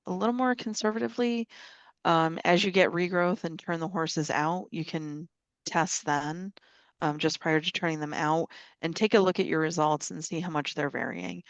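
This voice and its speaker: A woman's voice: sits low, no echo. A woman expert answering a viewer question in a webinar, practical and thinking aloud, hedging her advice. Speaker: lab presenter. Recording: dull lo-fi webinar audio.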